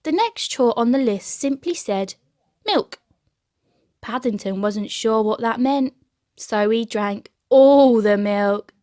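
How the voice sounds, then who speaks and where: A woman reading a children's storybook aloud as an expressive narrator. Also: on the high side, a little slow, dry recording, clean studio sound. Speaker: storybook reader.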